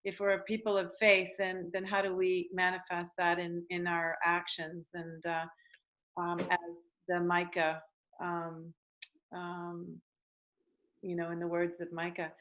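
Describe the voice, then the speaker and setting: A woman's voice: on the low side, monotone, a bit bright, dull audio. Hesitant woman moderating a panel. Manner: hesitant, halting, thinking aloud. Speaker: host moderating an online panel. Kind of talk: facilitating a group discussion. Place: online video call.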